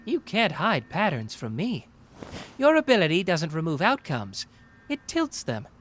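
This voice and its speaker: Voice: mid-range voice, wildly swooping pitch, a little slow, a bit bright, a little hiss or hum. A performer playing a cocky, playful young male genius detective in an anime roleplay, taunting and analytical in a theatrical way. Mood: confident taunting. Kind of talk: anime roleplay audio drama, deduction monologue.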